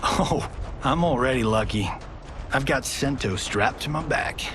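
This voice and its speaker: A man's voice: medium pitch, expressive, unhurried, background noise, lightly acted. A man playing a cocky, wisecracking Hollywood action star in a video game, flippant and teasing. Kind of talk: cinematic video game drama acting.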